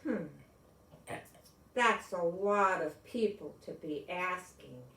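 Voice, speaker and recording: Storybook sidekick: helpful young boy read by a woman, slightly raised pitch, echoing room. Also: slow pace, a bit bright, far from the mic. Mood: doubtful. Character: young boy, helpful friend suggesting ideas.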